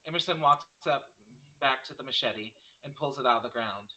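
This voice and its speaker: A man narrates a horror screenplay, reading scene headings and action plainly. His voice is medium in pitch with a bright, forceful edge. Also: normal speed, lo-fi sound. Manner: plain stage-direction reading. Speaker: script narrator.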